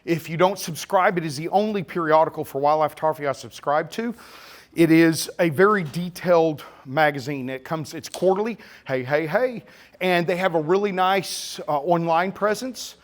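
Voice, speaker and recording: A man's voice: a little high, moderate pace. A middle-aged man with a slurry Texas-Oklahoma drawl giving a chatty, teasing live photography talk to a full room. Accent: Texas-Oklahoma blend. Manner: joking, teasing, sarcastic, chatty. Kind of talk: live presentation to an audience. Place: camera store event room with audience.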